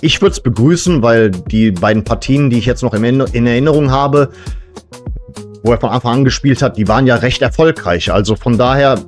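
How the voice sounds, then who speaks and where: Man, medium pitch, casual satirical monologue, tongue-in-cheek, fan show host, music behind. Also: brisk. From Serbian family background. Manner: tongue-in-cheek, joking asides.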